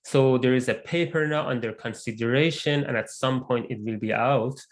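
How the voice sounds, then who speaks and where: Man presenting on a video call. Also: medium pitch. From immigrant to Canada. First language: not English. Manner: measured, with frequent fillers and restarts. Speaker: research lab lead presenting. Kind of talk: online presentation talk. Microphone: computer mic on video call.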